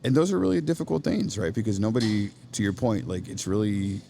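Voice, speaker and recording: A man's voice: fairly low pitch, a little fast, gravelly, mellow, slight background noise. A man being interviewed about education on a podcast, talking earnestly and checking in with "right?" as he thinks aloud. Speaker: podcast guest, education nonprofit leader. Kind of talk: casual interview chat. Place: coffee shop.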